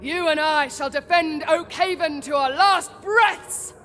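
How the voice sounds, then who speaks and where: A woman's voice: high voice, unhurried, pushed and bright. A woman voicing a noble, defiant warrior commander in a game, heroic and declamatory. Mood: defiant resolve. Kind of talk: video game cutscene acting. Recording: long reverb, faint noise, ambience.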